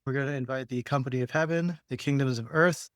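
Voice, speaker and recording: A man's voice: mid-range voice, quick pace, dry recording, studio quality. A man speaking casually and in a laid-back way about spiritual matters, as a podcast host and healer guiding a session.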